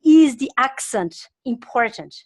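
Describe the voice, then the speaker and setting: A woman's voice: high-pitched, lively intonation, measured pace, a little mellow. A woman, a native French speaker with a French accent, casually asking a question in a meeting. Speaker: audience member asking a question.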